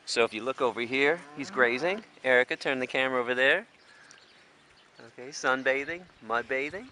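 A man's voice: medium pitch, moderate pace, bright, forceful tone. A man in his forties with an American accent, giving informal, emphatic commentary full of rhetorical questions as an animal advocate. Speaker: animal rights advocate presenting a farm sanctuary. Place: open farm field outdoors. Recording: noisy outdoor farm location sound.

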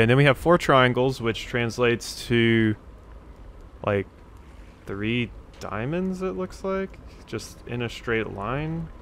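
A man's voice: mid-range voice, slight background noise. A man giving casual game commentary, musing to himself as he works things out. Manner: thinking aloud, musing to himself. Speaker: let's play host.

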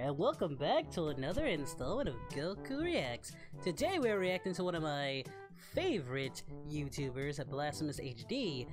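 A woman hosting a reaction video, commenting casually and excitably, talking back to what she watches. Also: fairly low pitch.

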